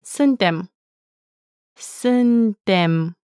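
A woman teaching a language, saying each word slowly and clearly twice in a scripted lesson. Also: mid-range voice, expressive, darkish tone, no room sound, clean studio sound. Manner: slow careful pronunciation with repeats. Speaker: native-speaker language teacher.

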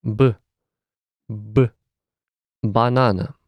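Man, Romanian accent, slightly low voice, scripted lesson delivery, enunciates carefully. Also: steady tone, no echo. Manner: measured, careful enunciation of letters. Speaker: language teacher.

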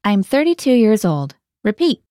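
A woman's voice: mid-range voice, sing-song, normal speed, mellow, dry recording, studio quality. A woman hosting a scripted language lesson, guiding the learner and translating each phrase into English.

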